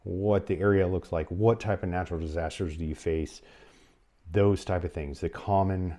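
A man with a North American accent speaks in a low, mellow voice. He gives explanatory advice to the camera, phrasing it in a measured, halting way. He sounds like a presenter in his forties. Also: steady tone. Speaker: presenter of an emergency radio advice channel. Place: small furnished room at home, indoors.

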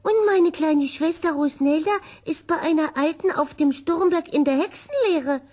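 A small, gentle witch's kitten from a children's storybook, played by a woman. He speaks in a raised, high voice with an innocent, earnest manner. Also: normal speed, lightly acted. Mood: friendly, earnest.

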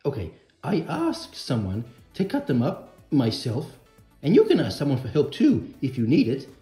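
A man's voice: medium pitch, huge pitch swings, normal speed, warm tone, reverberant, soft background music. A man voicing a cheerful, boastful stuffed bear who presents crafts for children in a puppet show. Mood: explaining.

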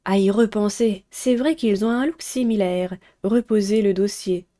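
A woman's voice: medium pitch, normal speed, warm tone. A young woman, casually commenting on a game and reading its text aloud. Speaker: streamer playing a visual novel.

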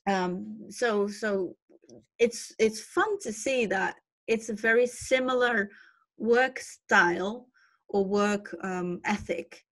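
A woman's voice: mid-range voice, takes it slowly. A middle-aged woman whose first language is Dutch, an illustrator talking animatedly about her watercolor workflow on a video call, often restarting her words. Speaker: traditional illustrator on an online panel. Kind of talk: casual conversation about art workflow. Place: cluttered art studio, indoors.

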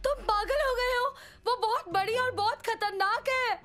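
A woman voicing an excitable, dramatic young boy in a children's cartoon, speaking emphatically with a whiny edge. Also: very high voice, moderate pace, soft background music. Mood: panicked. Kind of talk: animated children's cartoon dub.

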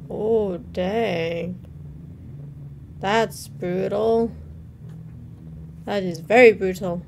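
A young woman hosts a reaction video in a casual, informal way. Her voice sits at a medium pitch. She reads text aloud and drops in her own comments, recorded indoors with some faint noise behind her. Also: fairly even intonation, very slow, creaky, a little mellow. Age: young adult. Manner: informal, interjecting between read-out captions. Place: small room at home, indoors. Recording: noticeable background noise.

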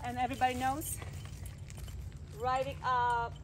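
A woman's voice: high-pitched, little pitch movement, unhurried. A middle-aged woman explaining things earnestly in a casual vlog, with non-native phrasing. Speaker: dog owner and vlogger. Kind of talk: casual vlog talk giving dog advice. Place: outdoors on a wooded hiking trail. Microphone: camera held by another person, a few steps away. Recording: noisy outdoor handheld camera audio.

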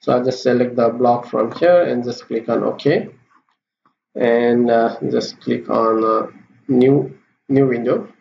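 A man giving a screen-recorded coding tutorial, talking haltingly with many fillers and restarts. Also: medium pitch, vocal fry, darkish tone. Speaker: programming tutor. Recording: roomy, dull lo-fi with faint hiss.

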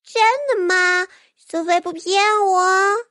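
A woman's voice: extremely high pitch, little pitch movement, slow, pushed and bright, dry recording, clean studio sound, pitched above the performer's own voice, full character voice. A woman voicing a tiny, chubby, innocent baby creature with naive, eager, childlike speech in an audiobook. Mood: hopeful. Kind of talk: audiobook character voice.

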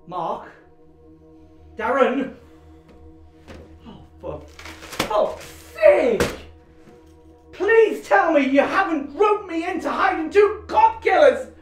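A woman voicing an anxious male friend whose flat hides the fugitives, rising to frantic shouts. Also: on the low side, takes it slowly, pauses often, reverberant, faint music behind. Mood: alarmed.

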